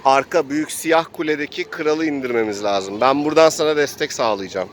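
A man's voice: fairly high pitch, a little fast, a little hiss or hum. A man improvising narration for a tabletop role-playing game, telling the action as if he were living it. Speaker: game master and player.

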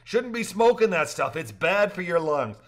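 A man voicing a loud, excitable older man in a storybook reading, joking and exclaiming. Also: high voice, moderate pace, pitched above the performer's own voice. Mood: teasing.

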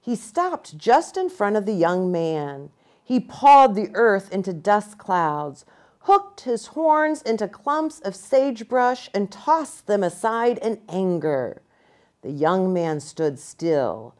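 A middle-aged American woman narrating a children's storybook in an expressive, deliberate way. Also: medium pitch. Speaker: pastor reading to children. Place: small furnished room, indoors.

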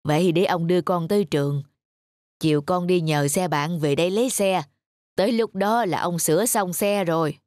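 A woman's voice: on the low side, normal speed, a little mellow, no echo, studio quality, lightly acted. A woman voicing a kindly, helpful elderly grandfather in a cartoon story. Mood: reassuring.

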